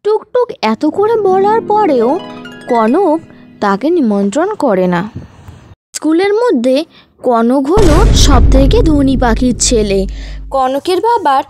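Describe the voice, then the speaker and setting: A woman narrates a children's story from a script. Her voice is high and very animated, with music clearly behind it. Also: takes it slowly, pauses often.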